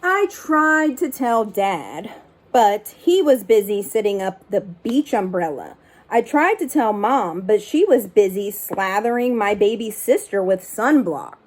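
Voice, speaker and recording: A woman reading a picture book aloud like a teacher, expressive and instructive, speaking to young listeners. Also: mid-range voice, measured pace. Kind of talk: storybook read-aloud with brief asides.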